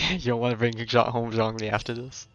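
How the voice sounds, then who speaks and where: A man's voice: mid-range voice, normal speed, a bit bright, muffled recording. A man livestreaming a game with casual, informal commentary, thinking aloud and reading on-screen text.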